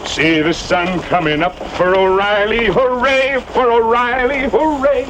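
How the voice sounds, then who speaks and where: Male ballad singer for a western: high-pitched, slow phrasing, bright tone, faintly noisy old recording. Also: fairly even intonation. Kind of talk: sung-style folk ballad. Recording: noisy old film soundtrack.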